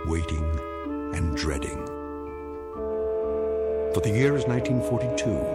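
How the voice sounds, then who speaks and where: A man narrating an eerie radio drama in a grave, measured, portentous manner. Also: low voice. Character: grave, ominous male narrator of eerie tales. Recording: music bed under the voice.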